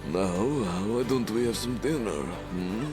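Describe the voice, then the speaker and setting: Slow, calm old village elder. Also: male voice, fairly low pitch, over music. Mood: genial. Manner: measured, thoughtful, reassuring. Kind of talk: dramatic visual novel acting.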